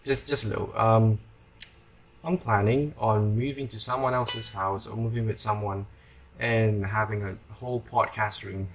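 A young person hosting a podcast in casual chat. They speak hesitantly and often trail off, on a rough home recording. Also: mid-range voice, fairly even intonation, moderate pace. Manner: hesitant, trails off, lots of likes. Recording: lo-fi home recording with faint background noise.

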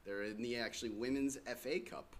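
A young man co-hosting a casual sports-betting podcast, relaxed with dry teasing. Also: medium pitch, fairly quick. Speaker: podcast co-host.

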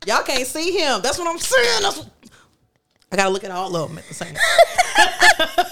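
A woman's voice: high voice, huge pitch swings, moderate pace, heavy background noise. A woman in her thirties hosting a podcast, chatting casually with slang, laughter and playful energy. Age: 30s. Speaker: podcast host. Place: podcast studio with camera setup.

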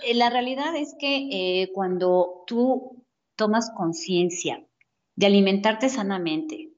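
A woman's voice: medium pitch, takes it slowly. A woman explaining healthy habits in a casual chat, restarting phrases and adding fillers as she goes. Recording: dull lo-fi audio.

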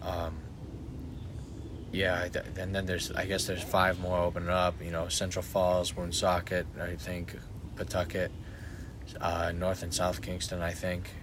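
Low male co-host, loose and profane, noisy recording. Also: monotone, unhurried. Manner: rambling, profane, restarts often. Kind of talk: casual chat. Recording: background noise.

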